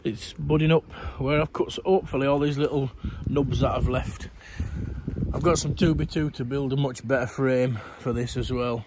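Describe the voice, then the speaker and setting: A middle-aged man with a croaky, worn-out voice, chatting in a rambling way while he gardens outdoors. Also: mid-range voice, fairly even intonation, moderate pace, noisy background. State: croaky voice, coughing. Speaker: allotment gardening vlogger. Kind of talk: casual garden update to camera.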